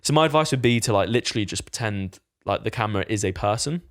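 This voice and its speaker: A man talks fast on a podcast, his voice sitting on the low side. He chats casually and rambles, dropping swear words as he goes. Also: animated, fairly bright tone. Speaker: podcast co-host, fitness coach.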